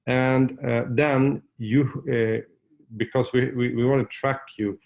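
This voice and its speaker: A man whose first language is Swedish, hosting a webinar and walking viewers through steps in a loose, chatty way with many pauses and restarts. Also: medium pitch, steady tone, vocal fry, darkish tone, poor audio. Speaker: webinar host. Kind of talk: casual screen-share webinar walkthrough.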